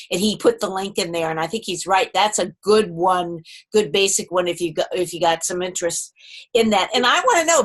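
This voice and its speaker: A woman's voice: fairly low pitch, a bit bright. Woman host asking follow-up questions. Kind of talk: casual online meeting chat. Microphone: video call.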